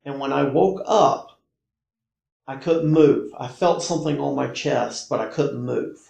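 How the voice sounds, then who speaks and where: Gravelly American man, halting storyteller. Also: medium pitch, a little mellow. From North Carolina, United States. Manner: halting, emphatic, repeats short phrases. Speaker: podcast guest recounting personal paranormal experiences. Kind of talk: casual conversational storytelling. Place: indoor room, in-person conversation. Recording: roomy, echoey indoor recording.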